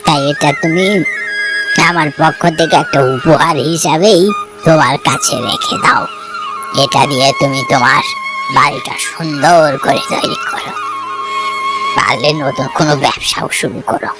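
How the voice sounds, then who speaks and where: A performer voicing an elderly bereaved man in a cartoon story, gentle, generous and fatherly, with a solemn, kindly delivery. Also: medium pitch, wildly swooping pitch, moderate pace, music in the background, low-quality recording. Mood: kindly, giving.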